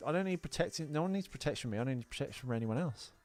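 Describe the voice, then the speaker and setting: A man's voice: medium pitch, animated, very fast, a little mellow, soft background music. A British man co-hosting a podcast in casual personal chat, talking bluntly and swearing freely. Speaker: podcast co-host.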